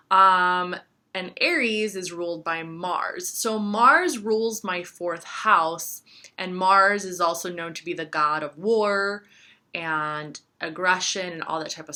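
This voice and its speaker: Bright-voiced chatty woman in her thirties. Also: low voice. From United States. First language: Serbian. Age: 30s. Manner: rambling, filler-heavy, chatty. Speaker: astrologer and tarot reader. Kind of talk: casual talking-to-camera chat.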